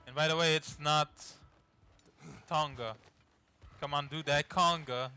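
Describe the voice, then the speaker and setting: A man's voice: on the high side, fairly even intonation, measured pace, bright, forceful tone, smooth. Guy playing a game, english not his first language, swears constantly, trips over reading, game music in back. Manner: swears a lot and stumbles reading aloud. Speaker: gamer playing through a game. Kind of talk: casual let's play commentary.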